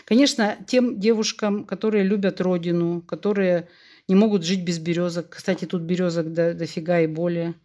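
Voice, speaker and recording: A woman around sixty, originally from Russia, talks as a podcast guest. She is frank and animated and speaks openly in an easygoing conversational way. She often acts out dialogue while telling anecdotes. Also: lowish voice, normal speed. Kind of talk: candid conversational storytelling.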